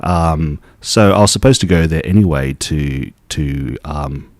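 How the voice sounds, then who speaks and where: A young man, born in Taiwan, working as an acupuncturist and telling his story in a relaxed, casual interview full of restarts. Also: very deep voice, measured pace, no echo. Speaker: acupuncturist guest.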